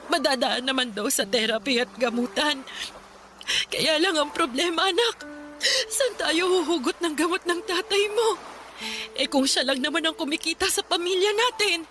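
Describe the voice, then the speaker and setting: A woman's voice: high voice, moderate pace, bright, forceful tone, soft background music. A woman playing a worried middle-aged mother in a Filipino radio drama, plaintive and full of sighing interjections. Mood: distressed, tearful. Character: worried, tearful mother of a struggling poor family.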